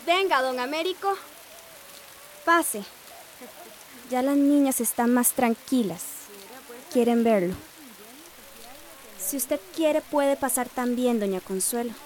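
High-voiced, gentle woman. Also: expressive, slow pace, long pauses. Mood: soothing. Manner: gentle, solicitous. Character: kind, eager young woman helper. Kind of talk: radio soap opera acting.

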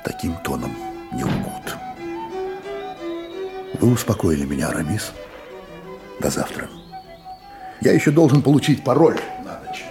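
An actor in a radio play playing a weary, loyal musketeer captain, earnest and suspicious, with a dramatic, weighty delivery. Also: male voice, mid-range voice, huge pitch swings, measured pace, pauses often, over music. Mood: resigned.

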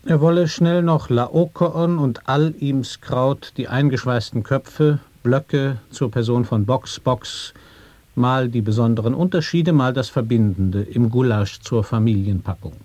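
A man's voice: mid-range voice, measured pace, darkish tone, dry recording. Matter-of-fact man reading absurd verse. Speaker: reader in a radio play. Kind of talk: recitation of experimental sound poetry.

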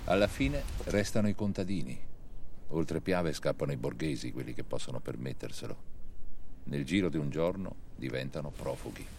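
A man reading scripted historical narration for a documentary film in a measured storytelling manner. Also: rumbling low, lively intonation, a little mellow, slight background noise.

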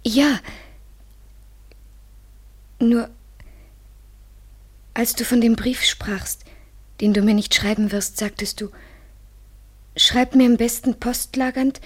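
Radio drama lover, female: highish breathy voice, slow, hesitant, fragile and anxious. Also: steady tone. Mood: hesitant, insecure. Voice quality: breathy, often whispering. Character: fragile, anxious woman hopelessly in love. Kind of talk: literary German radio play acting, 1970s.

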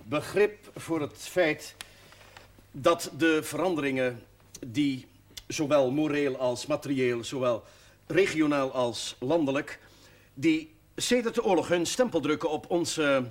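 Stiff, halting priest, fairly high-voiced. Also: male voice. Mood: halting, flustered. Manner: formal, halting, long-winded. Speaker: actor in a television series. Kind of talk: period television drama acting. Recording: noisy old TV soundtrack.

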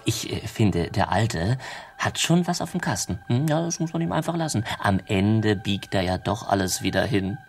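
Devil, sly, gravelly, low-pitched, swooping drawl, grudgingly admiring, radio comedy. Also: male voice, animated, normal speed, slight background noise. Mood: sly, musing.

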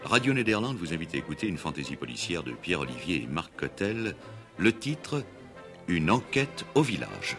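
Deep, animated male radio announcer. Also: moderate pace, faint music behind. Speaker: radio drama announcer and narrator. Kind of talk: scripted narration, 1960s radio. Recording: old 1960s radio broadcast recording.

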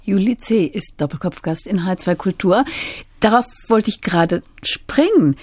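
Female culture-radio host, softly mellow tone, articulate, dry studio recording. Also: medium pitch, expressive, moderate pace, no room sound. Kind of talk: cultural radio interview presenting.

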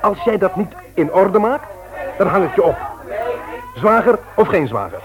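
An actor playing a stern, indignant brother-in-law in a Dutch radio play, emphatic and threatening when crossed. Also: male voice, high-pitched, sing-song, moderate pace, lo-fi sound, a crowd in the background. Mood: angry, threatening. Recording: very noisy old radio play recording.

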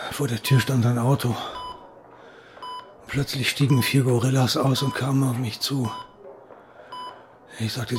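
A man's voice: mid-range voice, background noise, close to an everyday voice. A man playing a plain-spoken deputy reporting in a German radio drama.

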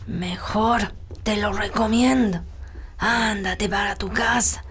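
A foreboding rural Chilean healer for a radio play. She speaks slowly and admonishes in an ominous, bossy way, over light noise. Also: medium pitch, fairly even intonation, fairly bright tone. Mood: scolding, caring. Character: bossy, superstitious country woman healer, foreboding and caring. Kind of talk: radio drama acting.

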